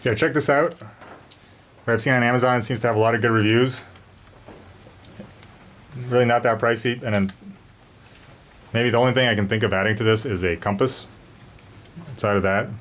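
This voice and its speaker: A man's voice: mid-range voice, steady tone, moderate pace, long pauses, a bit bright. A man giving a casual, unscripted product review, rambling and repeating words as he goes. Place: garage during a thunderstorm. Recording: noisy garage with storm ambience.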